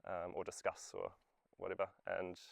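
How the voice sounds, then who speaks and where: A man's voice: sits low, monotone, moderate pace, gravelly, no echo, clean studio sound. A young adult man, a student, giving an informal short talk about himself. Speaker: student speaker at a community event.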